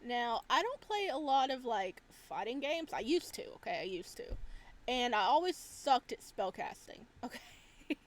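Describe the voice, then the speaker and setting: A woman hosting a gaming video, giving casual, playful and expressive let's play commentary as she reacts aloud. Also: a little high, measured pace.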